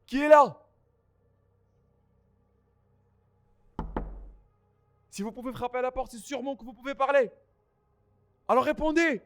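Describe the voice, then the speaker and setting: A man's voice: extremely high pitch, fairly quick, a bit bright. A man playing a lonely, grieving survivor of a plant apocalypse, narrating a first-person audio drama in a somber, melancholic, dramatic way. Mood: panicked shouting.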